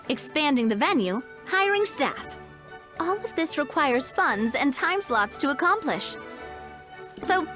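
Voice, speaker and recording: High, expressive, upbeat young organizer. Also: female voice, heavily acted. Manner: breezy, reassuring, expressive. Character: young woman, upbeat, confident festival organizer and partner. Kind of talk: video game voice acting.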